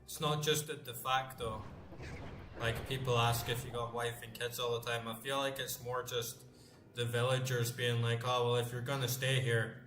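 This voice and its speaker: A man's voice: mid-range voice, level tone, normal speed, fairly bright tone. A man in his late thirties chatting casually while playing a game, rambling loosely and swearing now and then. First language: English. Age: late 30s. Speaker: gamer playing on stream. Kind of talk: casual chat while gaming. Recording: roomy sound with music behind.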